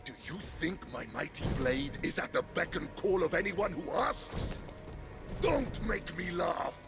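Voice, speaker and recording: A man's voice: sits high, expressive, unhurried, over music. A man voicing a fallen war god turned chicken in a video game, with a melodramatic, theatrical delivery. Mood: menacing, boastful. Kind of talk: video game cutscene acting.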